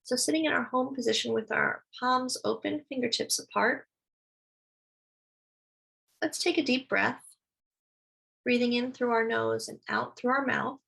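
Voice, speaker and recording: A woman leading a guided meditation, speaking slowly and soothingly as she gives gentle instructions. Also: on the high side, steady tone, gravelly, breathy edge, poor audio.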